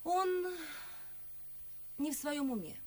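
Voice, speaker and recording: An actress playing a proud, resentful young noblewoman, measured and theatrical, in a radio verse drama. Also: sits high, animated. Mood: coolly scheming. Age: young adult. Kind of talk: classic stage verse acting for radio. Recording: loud music behind the voice. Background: music.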